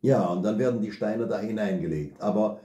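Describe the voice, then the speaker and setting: Older man, low dark voice, patient step-by-step explainer, recorded in an echoey living room. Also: brisk. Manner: calm, step-by-step explaining with frequent tag "ne?". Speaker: bushcraft expert and father. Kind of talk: relaxed explanatory chat.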